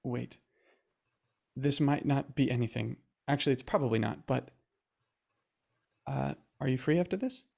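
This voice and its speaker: A guarded young man in a modern audio thriller. His voice sits in an ordinary middle register, earnest and a little halting, as if careful about what he gives away. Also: medium pitch, creaky, darkish tone. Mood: hesitant, secretive. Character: guarded, hesitant young man searching for a missing friend. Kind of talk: audio drama acting, present-day thriller.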